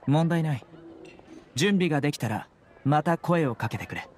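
A man's voice: on the high side, lively intonation, normal speed, noisy background, natural voice. Man voicing a terse, serious young judge. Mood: serious, composed. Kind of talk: Japanese anime game voice acting.

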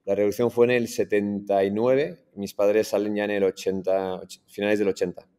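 Deep, flat-voiced man with a Madrid accent. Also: moderate pace, smooth. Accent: Madrid Spanish. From Iran, raised in Madrid. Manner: relaxed, many restarts and fillers. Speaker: startup founder guest. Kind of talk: casual interview storytelling. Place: in front of a green screen, indoors.

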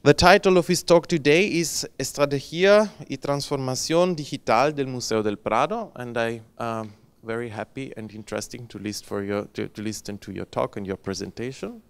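A man's voice: mid-range voice, measured pace, few pauses, clear, resonant tone. A man in his forties introducing a conference speaker, careful and hesitant with many fillers, on a handheld mic in a lecture hall. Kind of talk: formal introduction read in English.

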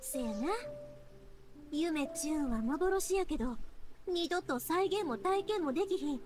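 High, swooping Kansai young heroine. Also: female voice, unhurried, over music. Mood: delighted. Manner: effusive, expressive. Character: exuberant, warm-hearted young woman, festive fantasy heroine. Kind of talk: Japanese anime game voice acting.

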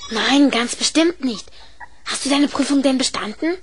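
Schoolboy inventor, played by a woman: high young voice, keen, practical, helpful, children's audio drama. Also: normal speed, music in the background, close to an everyday voice. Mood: curious. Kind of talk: 1970s German children's radio play acting.

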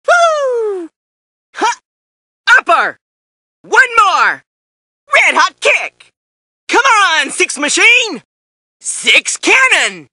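An actor playing a cocky, excitable young male superhero in a fighting game, brash and showy with shouted catchphrases. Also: extremely high pitch, no echo, studio quality, exaggerated voice. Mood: battle-fired.

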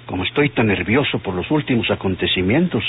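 A man's voice: fairly low pitch, expressive, a little fast, lightly acted. Older Mexican inspector and anxious father acted by a man, vintage radio serial, thin noisy sound. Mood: troubled, explaining. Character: worried, nervous older father, a police inspector. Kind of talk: vintage radio drama acting. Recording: muffled, hissy old radio recording.

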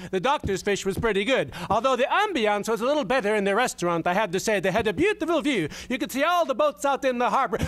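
An actor playing a grumpy Swedish man who rants loudly, in a thick Swedish accent with exasperated, sing-song complaints. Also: extremely high pitch, exaggerated voice.

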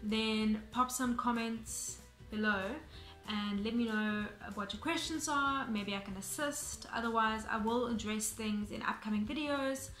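A woman's voice: a little high, steady tone, a little slow, fairly bright tone, echoey room, faint music behind. A young South African woman in her twenties, chatting to camera in a casual, explanatory way with frequent ums and restarts. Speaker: vlogger. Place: bright room indoors, seated on sofa.

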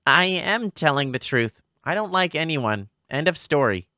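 Woman voicing an earnest low-voiced teenage boy. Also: very animated, normal speed, sharp tone, no echo, studio quality, heavily acted. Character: earnest, easily flustered teenage boy, idol's fiancé. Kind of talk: manga dub dialogue and first-person narration.

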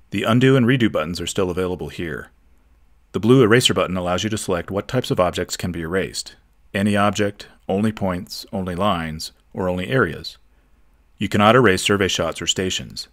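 A man giving a steady, methodical, scripted narration for a software tutorial. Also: low-pitched.

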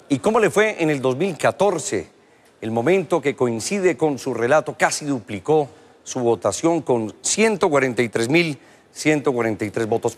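A man reads the news from a TV studio. His delivery is scripted and even, a steady studio voice. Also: medium pitch. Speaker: news anchor.

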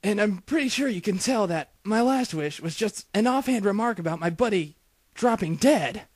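Southern US small-town man, male actor, parody cartoon: high, theatrical, folksy, dry close sound. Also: lively intonation, moderate pace, no room sound. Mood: rueful storytelling. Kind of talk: parody cartoon dub acting.

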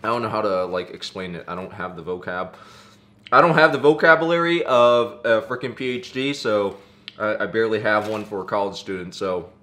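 Excitable young man vlogging casually. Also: fairly low pitch, a little fast. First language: not English. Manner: excitable, slangy, says "dude" and "freaking" a lot. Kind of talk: casual vlog to camera. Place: car, then kitchen, then living room, indoors.

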